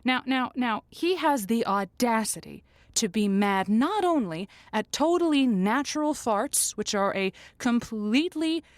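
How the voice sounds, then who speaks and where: A woman's voice: fairly high pitch, lively intonation, a little slow, no echo. A woman hosting a comic call-in advice show, speaking conversationally in an emphatic, stammering, folksy way.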